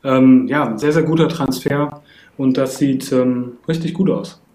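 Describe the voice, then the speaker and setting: A man's voice: mid-range voice, measured pace, mellow, reverberant. A man co-hosting a casual football podcast, talking hesitantly with many fillers. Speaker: podcast co-host.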